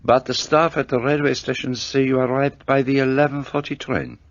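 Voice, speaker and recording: An actor playing a precise, courteous middle-aged detective in a radio play. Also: male voice, mid-range voice, level tone, normal speed, no room sound, lightly acted. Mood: inquiring. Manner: courteous, precise, slightly formal foreign phrasing. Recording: dull lo-fi sound.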